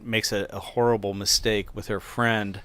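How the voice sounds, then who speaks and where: This is a middle-aged American man with a bright, forceful voice and a clean, smooth tone. He hosts a casual film talk in a halting way, doubling back on his phrases. The sound is close and dry. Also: lowish voice, little pitch movement, no room sound. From United States, near the Appalachian Mountains. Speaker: podcast host and film critic.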